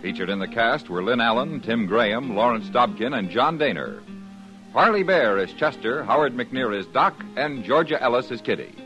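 A man's voice: mid-range voice, moderate pace, faint music behind. A man reading a public service notice and the credits as a formal 1950s radio announcer. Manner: smooth, lively, formal announcer delivery. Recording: dull, dry 1950s radio broadcast.

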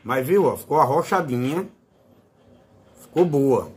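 Lively, slightly husky Northeastern Brazilian man. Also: medium pitch, a little slow. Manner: informal, fond of diminutives. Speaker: fishing channel host. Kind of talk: casual hands-on tutorial.

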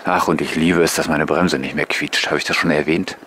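A man giving relaxed, musing, casual commentary to viewers as a vlogger on a bike tour. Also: extremely low pitch, a little fast. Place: outdoors on rural paths and waterside. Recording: noisy outdoor recording with passing vehicles.

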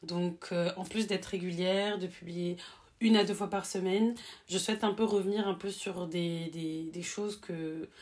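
A woman's voice: fairly low pitch, fairly even intonation, a little slow, darkish tone. A woman in her late twenties chatting casually to camera in a small room, rambling with fillers and restarts. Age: 20s. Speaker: YouTuber. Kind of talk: casual face-cam chat. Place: small room with bookshelf, seated.

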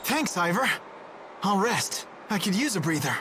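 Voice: mid-range voice, sing-song, normal speed, noisy background, lightly acted. An actor voicing an earnest, level-headed teenage boy hero in a video game, mild and sincere. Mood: dry, casual. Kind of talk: game voice acting. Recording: loud music over dialogue.

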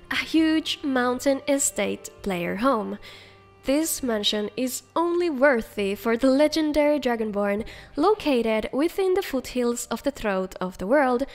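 Female game-showcase host: high-pitched, upbeat scripted voice-over, faint music bed. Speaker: video host, game mod reviewer.

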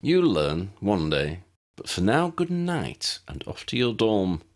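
A man's voice: lowish voice, very animated, slow pace. A man voicing an exasperated, teasing but friendly teenage girl prefect in an audiobook. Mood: amused. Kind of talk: audiobook character voice.

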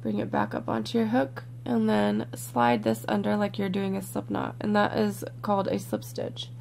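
A woman with a croaky, congested voice leads a step-by-step craft tutorial in a relaxed, chatty way, sometimes dropping to a near-whisper as she counts stitches. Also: medium pitch, normal speed, a little hiss or hum. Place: indoors at a worktable, overhead camera on hands.

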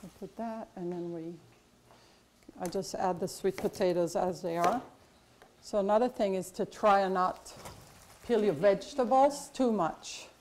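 A woman's voice: medium pitch, measured pace, darkish tone, slight background noise. A middle-aged woman chef giving a casual cooking demonstration in a large kitchen classroom, chatty and explanatory with lots of fillers.

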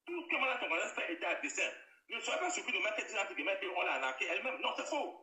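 A man's voice: sits high, very animated, quick pace, sharp tone. A man, talking emphatically on a video call and repeating himself. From Bamenda, Cameroon. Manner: emphatic, repeats himself, hedges with air quotes. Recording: roomy echo, distant from the mic.

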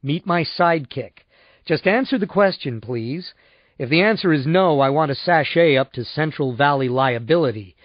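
A stubborn, sardonic man from a novel, played by a male narrator. He talks curtly and impatiently in a lively, fairly high voice. The recording is dry and slightly dull. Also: moderate pace, dry recording. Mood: blunt, determined. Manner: curt, sardonic. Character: blunt, stubborn male customer, wry and impatient.

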